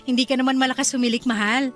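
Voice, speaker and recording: An actress playing a polite, sweet young newlywed wife in a Filipino radio drama, with a Filipino accent. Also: female voice, high-pitched, a bit bright. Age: young adult.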